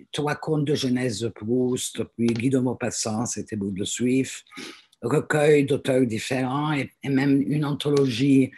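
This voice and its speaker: An older woman in her seventies speaks from home over a laptop webcam, her voice husky and a little breathy. She talks in a hesitant way, pausing on fillers and restarting phrases as she goes. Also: extremely low pitch, dark tone. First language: French. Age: 70s. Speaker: teacher and guest reader. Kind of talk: introducing herself on a video call. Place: small room at home, indoors. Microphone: laptop webcam on a video call.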